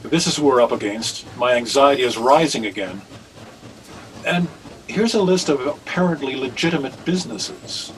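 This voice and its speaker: An older man acting in a radio drama as an anxious, grave reverend with a deliberate, formal way of talking. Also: medium pitch, animated, lightly acted. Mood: pensive. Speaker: radio play actor. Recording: distant, noisy video call audio.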